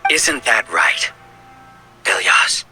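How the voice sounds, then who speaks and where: An actor playing an arrogant, scheming researcher in a video game, speaking in a theatrical, condescending way. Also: male voice, fairly low pitch, very animated, drawn-out words, heavily acted. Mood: smug, lecturing. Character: arrogant, scheming male researcher leading a collective-mind hive. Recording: music behind the voice.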